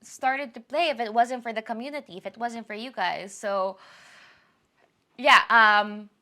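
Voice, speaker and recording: Bright-voiced woman chatting to camera. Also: fairly high pitch, measured pace. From Philippines. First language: Tagalog. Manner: chatty, apologetic, rambling with self-corrections. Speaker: YouTuber giving a channel update. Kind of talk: casual chat to camera.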